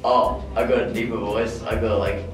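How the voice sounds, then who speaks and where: A man's voice: fairly low pitch, flat delivery, normal speed, heavy reverb, far from the mic, loud music behind the voice. A teenage boy in a casual interview, joking and blunt about how he has changed. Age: teens.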